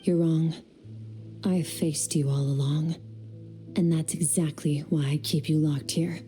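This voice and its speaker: A stern swordswoman in a game, in a low, dark, cold voice. She speaks firmly and without warmth. Soft game music sits behind her. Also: fairly even intonation, unhurried. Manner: cold, firm, resolute. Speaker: game character. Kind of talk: video game voice acting.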